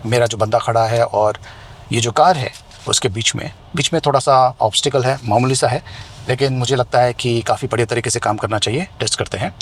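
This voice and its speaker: A man's voice: medium pitch, fairly quick. A man with an Indian accent giving a conversational, explanatory hands-on product review outdoors. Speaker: tech reviewer and vlogger. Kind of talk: hands-on product review, mixing Hindi and English. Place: outdoors near residential buildings. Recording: noisy outdoor ambience.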